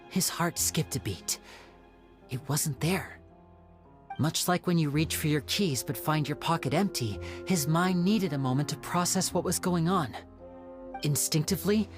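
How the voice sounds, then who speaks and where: A woman voicing a clever, calmly confident young magician detective in a video game, measured and deliberate. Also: sits low. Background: faint background music.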